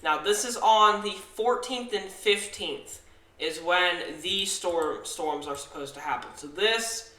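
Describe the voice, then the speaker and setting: Young man, Southern US accent, tired, halting with fillers and restarts, bright forceful voice, slightly high, casual weather forecast. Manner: halting, many fillers and restarts, self-corrects. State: tired after little sleep. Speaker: weather forecaster, channel host. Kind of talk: casual forecast walkthrough over weather maps. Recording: echoey room.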